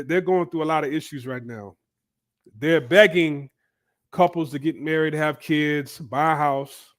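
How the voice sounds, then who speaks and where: Male channel host, somewhat high pitch, congested from a cold, rambling casual commentary. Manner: loose, repetitive, thinking aloud with fillers. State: stuffy from a cold.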